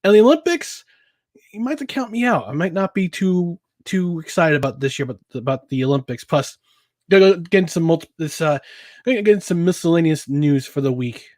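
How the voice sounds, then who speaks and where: Dude hosting a solo sports podcast, rambly with lots of uhs, kinda high voice, dry close mic. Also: male voice, animated, brisk, darkish tone, dry recording. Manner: rambling, chuckling, many fillers and restarts. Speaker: podcast host. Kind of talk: casual solo sports and beer talk.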